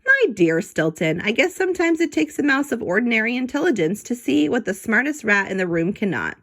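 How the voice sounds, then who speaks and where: A clever, self-assured rat detective from a children's storybook, acted out by a woman. He speaks in a grandiose, commanding way, with excitable swings of pitch. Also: a little fast, exaggerated voice. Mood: impressed, condescending.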